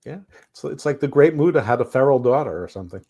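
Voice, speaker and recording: A man's voice: medium pitch, lively intonation, quick pace, mellow. A man co-hosting a podcast, reviewing wrestling in casual chat, rambling with restarts and chuckles. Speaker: podcast co-host.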